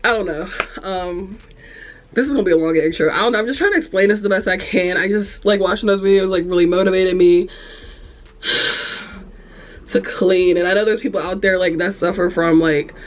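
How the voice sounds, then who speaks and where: A woman's voice: mid-range voice, normal speed. A young woman with a congested, nasal voice, rambling frankly to camera in a room at home, easily distracted and cursing. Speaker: vlogger. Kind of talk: casual chat to camera.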